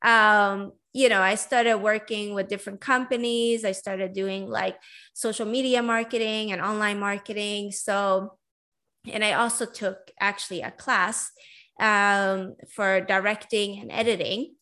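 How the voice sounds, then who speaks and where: A woman's voice: mid-range voice, steady tone, unhurried, bright, forceful tone. A young adult woman, born in Iran and raised in Sweden, hosting her own podcast and chatting casually about her life with many fillers and asides. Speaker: podcast host. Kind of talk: casual personal storytelling.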